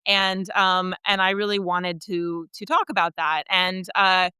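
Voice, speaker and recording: Woman, thirties, bright forceful tone, very crisp and smooth, candid, conversational interview guest. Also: fairly low pitch, fairly even intonation, measured pace, no room sound, studio quality. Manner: candid, chuckles as she talks. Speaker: writer, podcast guest. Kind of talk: conversational interview, personal storytelling.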